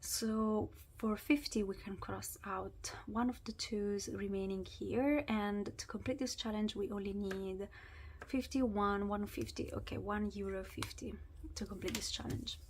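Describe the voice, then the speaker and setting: A woman's voice: medium pitch, fairly even intonation, unhurried, a little hiss or hum. Chatty woman with a weak, sore-throat voice. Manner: chatty, drops to whispers at times. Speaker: budgeting vlogger. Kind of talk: casual chat while doing cash envelope challenges.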